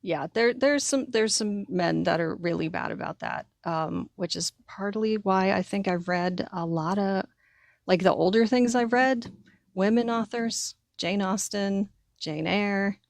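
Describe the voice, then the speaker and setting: A woman's voice: medium pitch, a little slow, creaky, dry recording. A woman co-hosting a podcast, chatting casually about writing with a wry manner. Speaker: podcast co-host and writer. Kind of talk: casual conversational discussion.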